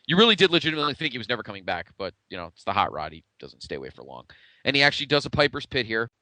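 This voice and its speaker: A man's voice: mid-range voice, sing-song, very fast, pushed and bright, no echo, low-quality recording. A man co-hosting a podcast in casual, conversational retrospective chat, with a blunt way of talking. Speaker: podcast co-host.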